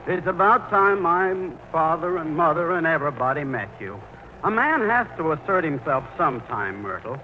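A muffled, hissy old radio recording of a baffled, timid man in his late thirties. He is hesitant and earnest, stammering nervously, with a lively, swooping voice in a comedy play. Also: on the high side, moderate pace. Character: baffled, timid 38-year-old mama's boy. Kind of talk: 1940s radio comedy acting.

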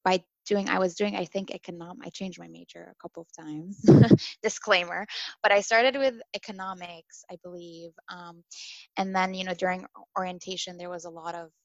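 Woman, 20s, from Syria and raised in Dubai, podcast guest, animated casual talk, low voice, dull lo-fi sound. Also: moderate pace, creaky, no room sound. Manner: animated, chatty, with many fillers and laughs. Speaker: podcast guest, former international student. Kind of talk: casual interview chat.

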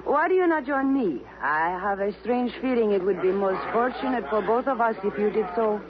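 An actress playing a coy, flirtatious Latin femme fatale with a Spanish accent in a 1950s radio drama. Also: female voice, medium pitch, lively intonation, normal speed, lightly acted. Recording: muffled old radio with crowd behind.

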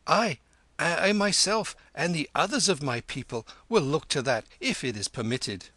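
A man's voice: a little high, animated, normal speed, sharp tone, no room sound, voice pushed up. A man voicing a hillman escort in a storybook as a fawning, stammering servant. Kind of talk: storybook character voice.

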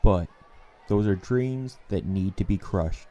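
A man's voice: fairly low pitch, takes it slowly, mellow. A man voicing a stern, disapproving older alien teacher who lectures wearily and dismissively in a comic dub. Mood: exasperated, stern. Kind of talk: comic dub character acting.

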